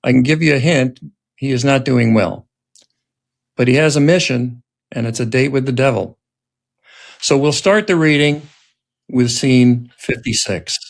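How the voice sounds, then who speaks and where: A man's voice: medium pitch, unhurried, creaky, long pauses. A man presenting a podcast in a scripted way and narrating a dramatic script reading as its writer-director.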